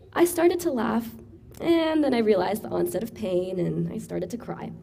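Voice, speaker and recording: A young woman with a raspy voice giving an earnest, encouraging graduation speech as class valedictorian. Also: fairly high pitch, warm tone, slight background noise. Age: young adult. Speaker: valedictorian student speaker.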